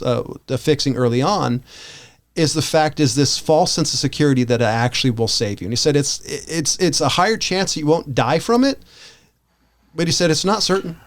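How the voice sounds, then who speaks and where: A man with an American accent co-hosting a podcast, talking casually and giving opinions in a rambling, halting way that often backtracks. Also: mid-range voice. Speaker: podcast co-host.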